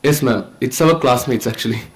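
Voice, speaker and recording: A nineteen-year-old man with an Indian accent gives an earnest, halting, informal thank-you speech as a student filmmaker. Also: medium pitch, crowd noise. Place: event stage at a film festival.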